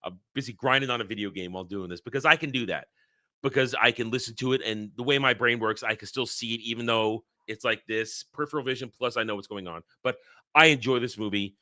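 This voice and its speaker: A man's voice: mid-range voice, fast talker, sharp tone, no echo, clean studio sound. A man co-hosting a casual movie review show, talking in a loose, rambling way with frequent restarts and fillers. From Northeastern United States.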